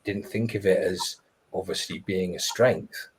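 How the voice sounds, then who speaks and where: A man telling a personal story on a live webinar, reflective and earnest, pausing and restarting as he goes. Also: low-pitched, husky, rough recording. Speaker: webinar panelist.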